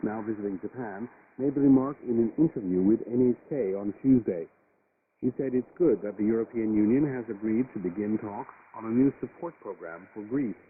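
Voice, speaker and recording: A man working as a news reader, delivering a scripted international news bulletin on shortwave radio. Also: on the low side. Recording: crackly, phone-like shortwave radio, loud static. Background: loud shortwave static and noise.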